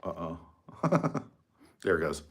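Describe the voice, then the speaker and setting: A man's voice: low-pitched, sing-song, slow pace. A middle-aged man hosting a casual livestream from his phone, rambling, mocking and swearing freely. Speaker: livestream host.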